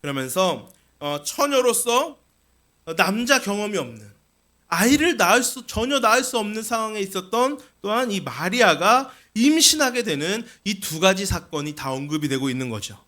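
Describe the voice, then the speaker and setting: A man's voice: high voice, sing-song, moderate pace, pushed and bright. A man preaching a sermon as a pastor, explaining scripture with rhetorical questions and repeated key phrases.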